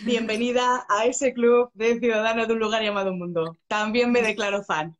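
Woman, Spanish accent from Spain, high voice, bubbly, effusive, casual interview host, home video call. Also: steady tone, moderate pace, muffled recording. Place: indoor room at home. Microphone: phone held close, live video call.

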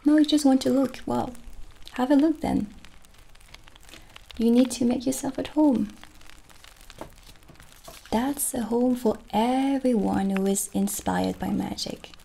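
A gentle, friendly witch , acted out by a woman, tends her magic shop in an ASMR roleplay. Her voice is fairly high yet mellow, with plenty of fry at the edges. She talks softly and soothingly, as a kindly keeper of potions would. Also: a little hiss or hum, exaggerated voice, low-quality recording. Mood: welcoming. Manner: soft, unhurried, soothing. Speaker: ASMR performer. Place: small dim candlelit room, indoors. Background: soft music.

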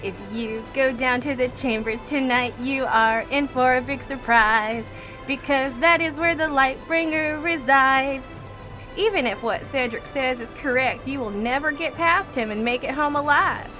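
Bright, high-voiced wry female inmate. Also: measured pace, faint music behind, rough recording. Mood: teasing warning. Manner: wry, teasing. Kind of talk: audio drama acting.

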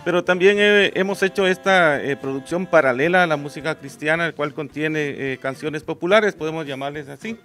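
A man's voice: fairly high pitch, brisk, hardly any pauses, fairly bright tone, soft background music. A Guatemalan man in his forties, a singer-songwriter answering interview questions in a small studio, hesitant with many fillers. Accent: Guatemalan Spanish. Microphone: handheld mic held by host.

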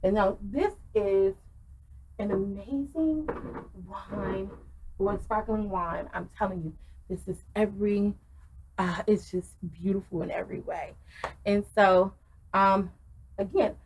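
A middle-aged woman gushes through a casual wine review, her voice carrying a gravelly fry. She talks with lots of ums and restarts, recorded on a webcam in a small wooden room. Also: medium pitch, slow, pauses often, a little mellow, low-quality recording. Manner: effusive, many ums and restarts.

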